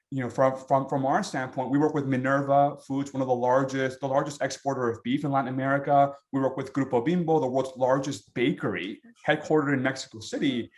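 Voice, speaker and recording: A man's voice: mid-range voice, a little mellow. A man speaking as a panelist, hesitant, with many fillers and restarts. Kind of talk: panel discussion.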